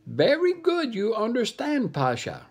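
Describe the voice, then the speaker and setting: A man's voice: extremely high pitch, huge pitch swings, measured pace, breathy edge, voice pushed up, full character voice. A man voicing a sly, bossy male monkey who speaks broken English and refers to himself by name. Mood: menacing.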